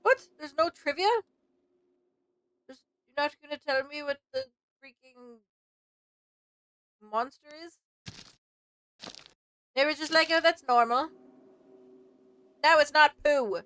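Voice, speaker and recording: A woman's voice: high voice, animated, slow pace, pauses often, a bit bright, no echo, poor audio. A woman game streamer giving casual, chatty, exclamatory commentary as she plays. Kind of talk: casual reactive let's play commentary.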